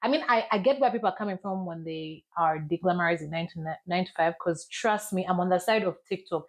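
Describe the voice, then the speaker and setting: A Ugandan woman with a Ugandan accent, a podcast guest who tells stories in an animated, candid and playful way. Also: on the low side, quick pace. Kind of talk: casual storytelling in an interview.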